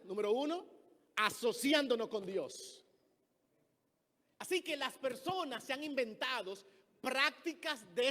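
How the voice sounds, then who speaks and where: A man's voice: extremely high pitch, fairly bright tone. A man with a Dominican Spanish accent preaching a sermon in a church, telling stories and acting out the people he quotes. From Dominican Republic. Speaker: pastor. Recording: long reverb of a church hall.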